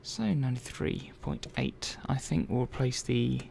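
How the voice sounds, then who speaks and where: Man playing a soft, creaky-voiced robot technician. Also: medium pitch, lively intonation. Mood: gently absorbed. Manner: unhurried, murmuring, thinking aloud with hesitant asides. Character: curious, gently chatty robot repair technician. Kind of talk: soft-spoken ASMR roleplay. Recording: faint background noise.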